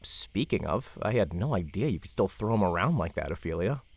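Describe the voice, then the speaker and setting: A man's voice: low voice, very animated, brisk, heavily acted. A man acting a smug, witty young brother with a sarcastic, teasing manner in an audio drama. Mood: dry teasing.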